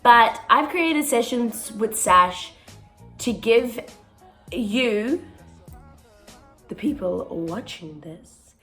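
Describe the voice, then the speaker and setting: A young woman, a touring musical theatre performer talking earnestly to camera in a casual vlog, often pausing on "um". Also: a little high, expressive, pushed and bright, soft background music, low-quality recording. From born in South Africa, raised in New Zealand. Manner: earnest and chatty, with frequent ums.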